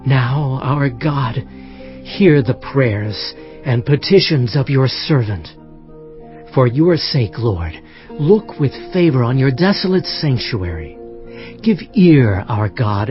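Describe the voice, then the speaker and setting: A man playing a devout, earnest Hebrew prophet in a dramatized audio Bible, with a fervent, reverent delivery. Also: mid-range voice. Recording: slightly dull audio, music underneath. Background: background music.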